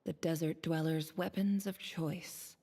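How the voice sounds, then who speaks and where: Guarded young woman, game narration, lowish pitch, gravelly fry, hushed, detached, long reverb. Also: steady tone, unhurried, heavily acted. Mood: detached, grim.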